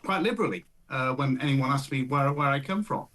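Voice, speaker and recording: A man's voice: mid-range voice, a little fast, fairly bright tone. A man, a political campaigner, guesting on talk radio, indignant and ironic. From England. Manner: indignant, mock-shocked, ironic.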